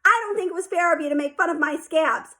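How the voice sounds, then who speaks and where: A woman's voice: extremely high pitch, quick pace, bright, forceful tone, voice pushed up. A woman voicing a whiny, weepy young girl who bawls and sulks. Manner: bawling, sulky.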